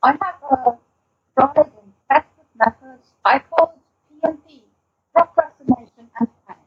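A woman's voice: high-pitched, normal speed. A woman chatting casually as a podcast panelist with dry humour, on a muffled recording. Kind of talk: casual chat.

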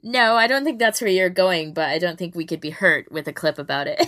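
A woman's voice: fairly low pitch, fairly quick, fairly bright tone, no room sound, studio quality. A woman co-host talking casually on a podcast.

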